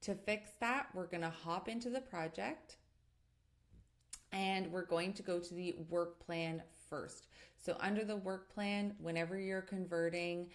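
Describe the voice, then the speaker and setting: A woman narrating a step-by-step software tutorial in a methodical, explanatory way as an instructor. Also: fairly low pitch, steady tone, measured pace.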